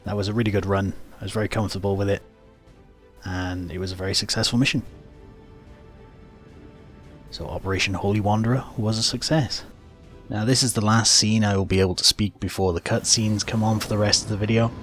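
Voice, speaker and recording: A man's voice: on the low side, fairly even intonation, a little fast, fairly bright tone, faint music behind. A man giving recap commentary over a video game as host of the video.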